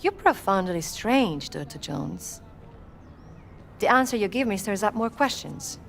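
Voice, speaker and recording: A woman playing a sharp, guarded investigative journalist with an Italian accent in a cinematic game, probing and self-assured. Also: mid-range voice, expressive, unhurried, vocal fry, a little hiss or hum.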